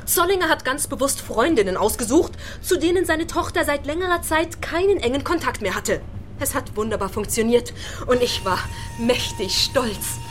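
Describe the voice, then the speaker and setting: A woman's voice: sits high, expressive, moderate pace, soft background music. A woman playing an earnest, theatrical actress, proud of her craft, in a German-language radio play.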